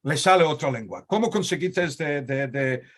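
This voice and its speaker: A man's voice: on the high side, lively intonation, moderate pace. A man hosting a video-call talk in Ladino, asking long, searching questions with many fillers and restarts. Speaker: host. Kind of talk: conversational interview in Ladino.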